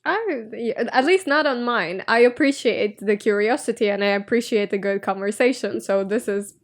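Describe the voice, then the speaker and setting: A woman's voice: fairly high pitch, moderate pace. A woman who grew up in Spain, a guest in a casual interview, who talks in a chatty, opinionated way. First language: Russian.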